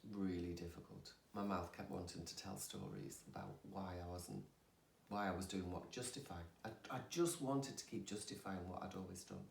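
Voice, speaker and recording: A woman's voice: a bass voice, expressive, normal speed, vocal fry, a little mellow, a little hiss or hum. A woman, a yoga teacher, talking candidly and expressively about her own healing and laughing at herself. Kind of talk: candid personal conversation. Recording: roomy sound from a distant mic.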